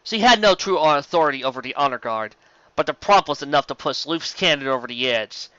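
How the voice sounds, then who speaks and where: Guy narrating fan fiction, dramatic, forceful voice, dry slightly dull recording. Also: a little high, lively intonation, fairly quick, clean tone, no echo. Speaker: audiobook narrator.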